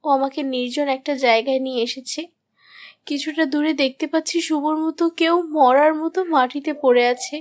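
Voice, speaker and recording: A woman's voice: sits high, steady tone, moderate pace, natural voice. A woman playing an anxious, suspicious young wife who narrates a horror story in a dramatic, troubled manner. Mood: distressed. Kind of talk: first-person scripted horror story narration.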